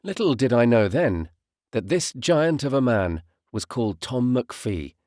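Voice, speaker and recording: Male narrator with an expressive, lively delivery. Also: medium pitch, unhurried, dry recording, studio quality. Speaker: audiobook narrator, voice artist. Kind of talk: literary first-person audiobook narration.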